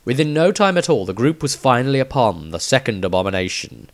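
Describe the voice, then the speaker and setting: A man reading his own fantasy story as scripted narration, with dramatic, deliberate phrasing. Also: medium pitch, big pitch swings.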